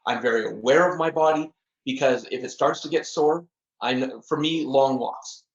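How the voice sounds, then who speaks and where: A man's voice: mid-range voice, moderate pace, highs cut off. A middle-aged man speaking earnestly as a podcast guest, often repeating words and laughing at himself. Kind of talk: casual interview chat.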